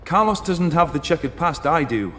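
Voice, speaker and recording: Man, dramatic audiobook narration, slightly high voice, music underneath. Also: expressive, moderate pace, fairly bright tone. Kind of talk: dramatic first-person scripted story narration.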